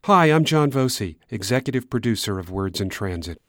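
A man hosting a public radio program, reading a scripted introduction in a measured, announcer-like way. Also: lowish voice, animated, a bit bright, dry recording, studio quality. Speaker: radio executive producer and host.

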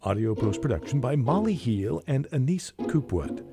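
Lively male radio announcer over music. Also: mid-range voice, moderate pace. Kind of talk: scripted radio credits narration.

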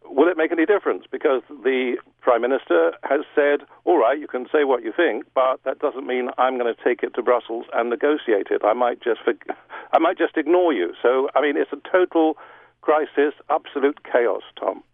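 A middle-aged Englishman, explaining politics in a radio interview with measured pauses and restarts. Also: mid-range voice, no room sound. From England. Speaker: guest broadcaster. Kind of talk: radio interview over the phone. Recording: tinny phone line.

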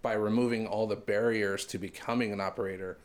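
A man's voice: fairly low pitch, fairly even intonation, a little fast. A man working as a radio interviewer, asking questions in a conversational way.

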